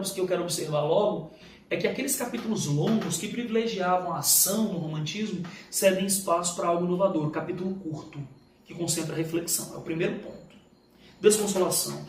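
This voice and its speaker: A Brazilian man teaching a live exam-review lesson, emphatic and didactic, informal and slangy, often asking questions and answering them himself. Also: high-pitched. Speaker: literature teacher. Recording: echoey room, distant mic, faint noise.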